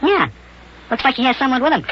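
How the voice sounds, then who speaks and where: A high-pitched, brash little boy whose voice bounces all over. He is whiny, slangy and excitable, as in an old children's radio serial. The recording is muffled. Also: fast talker, noisy background. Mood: eager.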